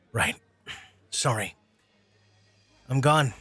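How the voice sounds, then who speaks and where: A man's voice: mid-range voice, drawn-out words, sharp tone, husky, soft background music, exaggerated voice. A man playing an earnest, principled social worker in an audio drama, arguing his case. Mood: subdued. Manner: earnest, pleading.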